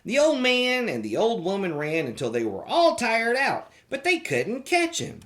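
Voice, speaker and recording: Read-aloud host for kids, playful and theatrical, with hugely swooping pitch. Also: wildly swooping pitch, measured pace, a bit bright. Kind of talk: expressive storybook reading.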